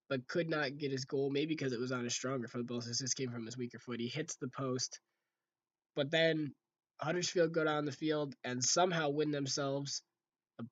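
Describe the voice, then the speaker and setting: A young man with a hoarse, fading voice, chatting casually to viewers over football game footage from a room at home. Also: mid-range voice. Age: young adult. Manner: chatty, talks straight to viewers as "guys". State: hoarse, losing his voice. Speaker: gaming video creator. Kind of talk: casual gameplay commentary.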